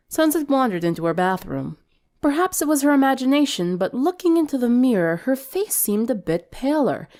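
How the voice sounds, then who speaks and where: Expressive woman narrator with lively pitch. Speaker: story narrator. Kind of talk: expressive fan fiction reading, scripted narration.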